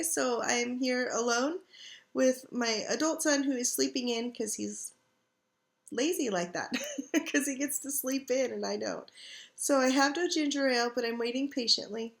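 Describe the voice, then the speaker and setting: A woman's voice: fairly high pitch, moderate pace. A middle-aged woman with a scratchy, irritated throat, chatting in a rambling way to her webcam from her couch at home. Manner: rambling, chatty, frequent ums and throat clearing. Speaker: craft vlogger. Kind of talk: casual chat to webcam. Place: living room couch at home. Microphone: computer webcam.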